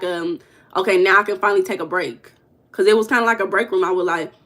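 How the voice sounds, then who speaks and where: Young American woman, spiritual coach, casual livestream voice, rambling and relaxed. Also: medium pitch. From United States. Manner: loose, rambling and conversational, with self-corrections and humming between comments. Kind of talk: casual livestream chat, reading viewer comments.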